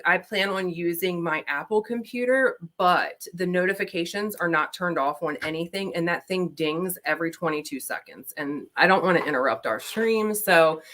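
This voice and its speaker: A woman's voice: sits low, a bit bright. Chatty Baltimore woman on a livestream. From Maryland, USA. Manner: chatty, rambling with fillers. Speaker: beauty vlogger. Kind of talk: casual livestream chat. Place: home, at her desk with laptop. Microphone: laptop built-in mic.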